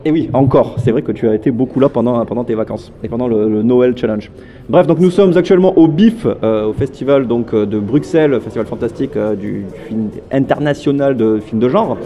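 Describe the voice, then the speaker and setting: A man's voice: mid-range voice, fairly quick, warm tone, lo-fi sound. A man hosting a film vlog at a festival, talking loosely with many fillers and jokes. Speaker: vlog host. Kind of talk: casual film vlog chat.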